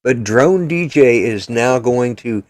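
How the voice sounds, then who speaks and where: A man's voice: medium pitch, lively intonation, slow pace, darkish tone, no echo, clean studio sound. An older man hosting a casual political chat show, talking in a blunt, rambling, opinionated way. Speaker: podcast host.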